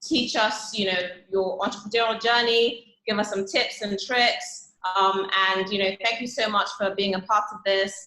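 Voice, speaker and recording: A woman's voice: medium pitch, fairly even intonation, normal speed, hardly any pauses, bright, forceful tone, roomy sound, low-quality recording. A woman hosting an online event, giving a welcome speech full of "you know" fillers. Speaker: event host.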